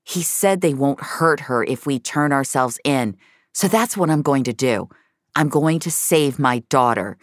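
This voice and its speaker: A woman's voice: a bass voice, lively intonation, measured pace, no room sound, clean studio sound. A woman voicing an angry, protective father in an audio drama, hard and controlled. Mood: hardened anger. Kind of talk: dramatic audio fiction acting.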